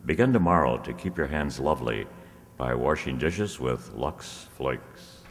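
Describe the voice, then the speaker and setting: A man playing a vintage radio announcer, reading scripted copy in a measured, formal manner. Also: extremely low pitch, lively intonation, exaggerated voice. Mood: polished, persuasive. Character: smooth 1930s radio announcer selling soap. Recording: echoey hall, noisy audience room.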